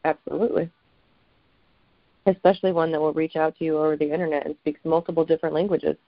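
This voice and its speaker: A podcast co-host giving short, affirming replies. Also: male voice, on the high side, little pitch movement, fast talker, vocal fry, no room sound. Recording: dull lo-fi call audio.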